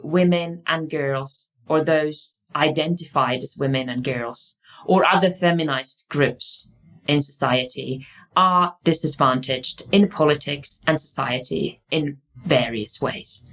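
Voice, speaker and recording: A woman's voice: deep voice, slow, fairly bright tone, poor audio. A woman with a Finnish accent, whose first language is Finnish, lecturing in a thoughtful way that often halts with fillers and restarts. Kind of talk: explaining lecture.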